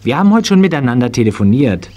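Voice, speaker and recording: An actor playing a polite, brisk manager with a courteous, formal manner in a children's radio play. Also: male voice, medium pitch, very animated, a little mellow, slight background noise, lightly acted. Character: polite, brisk male animal-society manager.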